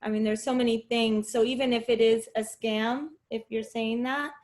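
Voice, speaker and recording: A woman's voice: fairly high pitch, fairly even intonation, normal speed, a little mellow. A woman panelist speaking in a tentative, easygoing way during a casual discussion.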